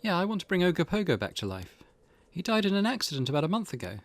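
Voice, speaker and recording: A man's voice: high-pitched, big pitch swings, fast, no echo, pitched above the performer's own voice. A man voicing a perky, teasing young woman who loves the occult, playful and eager, in a visual novel read-aloud. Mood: earnest, wistful.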